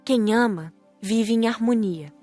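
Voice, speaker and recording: A woman's voice: a little high, takes it slowly, a little mellow, faint music behind. A woman reading scripted first-person audiobook narration in a composed storytelling manner.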